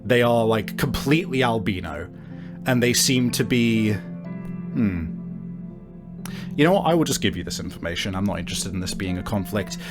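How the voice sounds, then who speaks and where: A man's voice: fairly low pitch, lively intonation, normal speed, long pauses, over music. A man running a tabletop game as game master, narrating casually and searching for his words.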